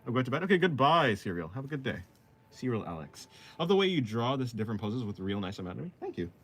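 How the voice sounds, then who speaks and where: A man's voice: mid-range voice, big pitch swings, fast talker. A man of twenty, a live-streaming artist, chatting casually with his viewers and answering their comments while he draws. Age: 20s. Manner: laid-back, reads chat aloud and answers. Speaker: art streamer.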